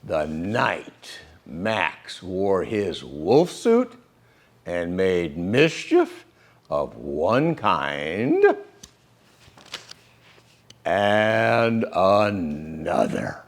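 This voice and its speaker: A man in his sixties or older reading a children's picture book aloud as storyteller, with dramatic, deliberate phrasing. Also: low-pitched, big pitch swings. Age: 60s or older. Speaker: storyteller and host.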